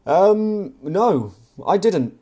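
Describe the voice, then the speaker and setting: Audiobook role: charming, evasive young man with an irritable edge male narrator acting, lively pitch. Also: extremely slow, full character voice. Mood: casual, charming. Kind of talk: audiobook character dialogue.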